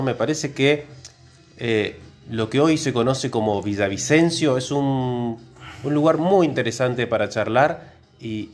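A man from Córdoba with an Argentine accent, co-hosting a casual podcast conversation. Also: medium pitch. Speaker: podcast co-host, biologist.

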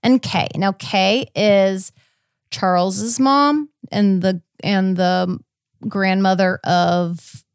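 A woman co-hosts a podcast in an easy conversational style. Her voice sits at a middle pitch. She explains things step by step as in a casual recap, recorded dry with no room sound. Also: fairly even intonation, extremely slow, clear, resonant tone, dry recording. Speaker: podcast host. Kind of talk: casual chat recapping a court case.

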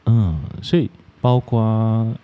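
A man with a low voice hosts a relaxed interview chat. He is playful and joking, often repeating a phrase as he questions his guest. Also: takes it slowly, clean tone, narrow, tinny audio. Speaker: podcast host.

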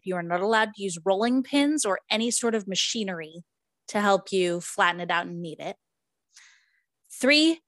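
A woman's voice: medium pitch, no echo. A woman co-hosting a casual podcast, talking in a chatty way with many fillers and restarts. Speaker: podcast co-host. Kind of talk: casual chat.

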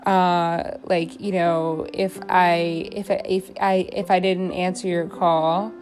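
A woman's voice: on the low side, little pitch movement, slow, a little mellow. Young woman, tired voice, rambling solo podcast host, music playing behind. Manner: rambling, many fillers and restarts. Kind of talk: casual late-night solo podcast musing.

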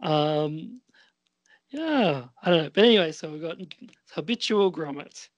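A man chatting casually and hesitantly as an amateur podcast performer, often pausing as he thinks aloud. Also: on the high side, animated, no echo. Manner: hesitant, halting. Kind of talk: casual chat.

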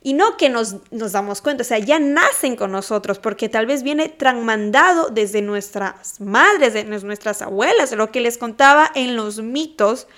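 Lively woman hosting a solo podcast. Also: a little high, measured pace, hardly any pauses. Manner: animated, addresses listeners directly, occasional laughs. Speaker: podcast host. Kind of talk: casual solo podcast talk.

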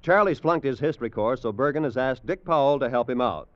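A man's voice: medium pitch, brisk, no echo. A man reading scripted announcements as a 1950s radio network announcer. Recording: dull vintage radio recording.

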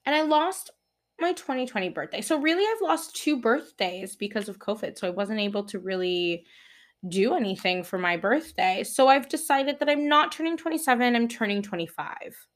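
A woman's voice: fairly high pitch, animated, moderate pace. Playful young woman hosting a podcast. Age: 20s. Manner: playful, rambling, laughs at own jokes. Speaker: podcast host. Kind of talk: solo podcast chat.

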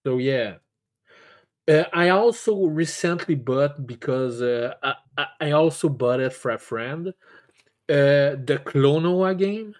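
A man's voice: on the high side, takes it slowly. A man hosting a casual video game podcast, stammering and repeating words often, swearing freely. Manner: casually foul-mouthed. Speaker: podcast host. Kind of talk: casual chat about video games.

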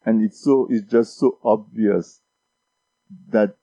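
A man's voice: lowish voice, fairly even intonation, slow, warm tone, dry recording. A man from Dominica talking in a casual discussion, often repeating words as he builds his points. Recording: dull lo-fi sound.

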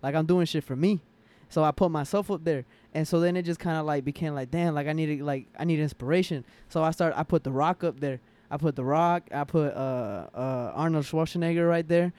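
Young male guest, slightly high-pitched, slangy, rambling casual chat. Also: a little fast, hardly any pauses, darkish tone, clear, resonant tone. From Atlanta area, Georgia, USA. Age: young adult. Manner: slangy, rambling, heavy use of "like". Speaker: interview guest, vlogger.

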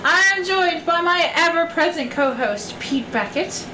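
A woman co-hosting a casual podcast chat, bubbly and quick to laugh, with a shrill voice. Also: expressive, pushed and bright. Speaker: podcast co-host. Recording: echoey room with background noise.

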